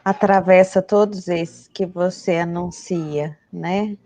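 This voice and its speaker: A woman interviewing a guest, asking measured questions with fillers. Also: fairly low pitch, fairly even intonation, mellow.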